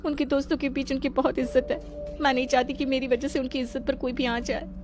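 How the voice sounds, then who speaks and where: A woman's voice: high voice, level tone, fast talker, over music, pitched above the performer's own voice, lightly acted. A woman voicing a meek young wife who pleads politely and tearfully in a storybook drama. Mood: tearful pleading.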